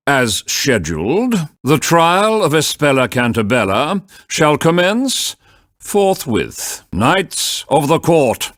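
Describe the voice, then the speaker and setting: A man's voice: a little high, fairly bright tone, dry recording, studio quality, heavily acted. A man voicing a stern, pompous elderly judge of a medieval witch trial in a theatrical video game style, formal and easily flustered. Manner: formal, pompous, slow courtroom pronouncements, sputtering when surprised.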